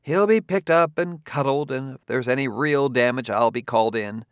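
A man reading an audiobook, voicing a brisk, matter-of-fact woman who runs a children's farm, easily exasperated. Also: medium pitch, clean tone, dry recording, natural voice. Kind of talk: audiobook character voice.

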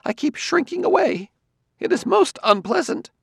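Male narrator putting on a fussy, squeamish older scholar; high, wavering, gravelly edges, dry close sound. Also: sing-song, normal speed, no room sound, exaggerated voice. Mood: uneasy. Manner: high, shrinking, hesitant delivery. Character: nervous aging male sociologist, fussy and squeamish.

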